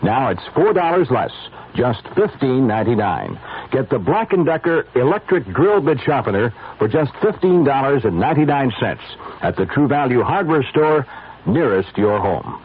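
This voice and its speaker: A thin, phone-like radio recording carries an American male spokesman reading an ad. His voice is mid-pitched and rough-edged, with lively swings as he sells. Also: normal speed. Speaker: commercial spokesman. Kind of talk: scripted radio hardware ad.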